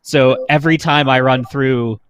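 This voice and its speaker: A man in his thirties with a mid-range voice. He talks as a podcast panelist in an enthusiastic, informal way. He drops casual swearing into animated chat. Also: medium pitch, unhurried, clear, resonant tone.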